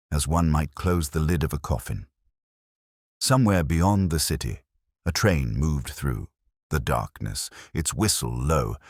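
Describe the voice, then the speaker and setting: A man acts a brooding older English police inspector with a strikingly deep voice that rises and falls expressively. He narrates a detective audio drama in solemn, measured, literary phrases. The sound is dry and intimate, with a melancholy, reflective temper. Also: breathy edge, no room sound, heavily acted. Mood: weary, resigned.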